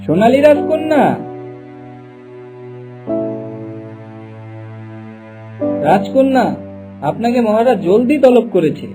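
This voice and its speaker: A man's voice: very high-pitched, big pitch swings, moderate pace, over music. A man voicing a respectful, earnest palace servant in a cartoon fairy tale.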